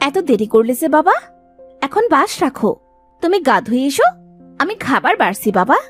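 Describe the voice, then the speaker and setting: A woman plays a young village daughter in a cartoon fairy tale, caring and a little bossy. Her high, extremely animated voice coaxes and fondly scolds over faint music. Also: moderate pace. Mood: fondly scolding. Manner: coaxing, affectionate scolding.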